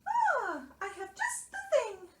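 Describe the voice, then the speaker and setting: A woman voicing a small, cheerful, eager male mouse in a children's storybook, with a thin squeaky chirp. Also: huge pitch swings, slow, slight background noise, exaggerated voice. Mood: chirpy, helpful. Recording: roomy echo.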